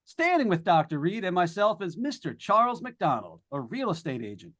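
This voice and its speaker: A breezy radio ghost hunter, acted by a man in a 1940s-style radio play. The voice sits high and moves in lively swings. He addresses listeners like a folksy showman, always ready with a quip. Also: normal speed, heavily acted. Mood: joking, nervy. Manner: chatty, folksy showman delivery. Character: breezy, joking male radio host hunting ghosts. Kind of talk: 1940s live radio drama acting.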